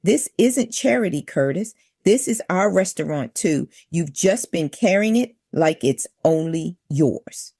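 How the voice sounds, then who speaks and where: A man's voice: high voice, animated, a little slow, creaky, few pauses, darkish tone, husky, no echo, studio quality, voice pushed up, heavily acted. A man voicing a loyal, earnest woman line cook in an audio story. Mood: earnest, firm.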